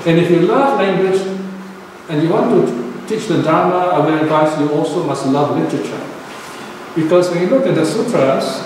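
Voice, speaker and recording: Older male dharma teacher, higher voice, chatty delivery, recorded from afar in a reverberant, noisy hall. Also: darkish tone. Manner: conversational, checks in with okay and right. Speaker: Buddhist teacher. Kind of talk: dharma talk. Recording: echoey hall, distant mic, noisy.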